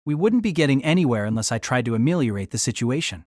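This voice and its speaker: An exasperated young man with a medium-pitched, very lively voice. He narrates his own story in wry, wordy asides and acted dialogue, recorded dry and close. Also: quick pace, dry recording. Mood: indignant, placating.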